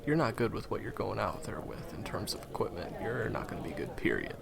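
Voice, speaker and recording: A man playing a low, gravelly, friendly hunting guide. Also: animated, crowd noise. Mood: concerned, reassuring. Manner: conversational, hesitant pauses, reassuring. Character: friendly male guide, former hunter, reassuring and encouraging. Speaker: guide and handler for a hunter. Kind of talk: fantasy game audio roleplay addressed to the listener.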